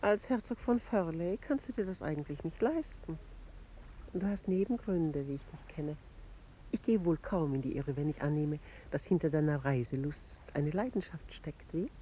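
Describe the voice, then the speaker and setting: A woman's voice: deep voice, animated, normal speed, mellow. A woman playing a composed noblewoman with dry, needling irony in a 1950s German radio play. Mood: probing, dry. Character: cool, sardonic noblewoman, drily needling her husband. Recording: 1950s radio recording, faint noise.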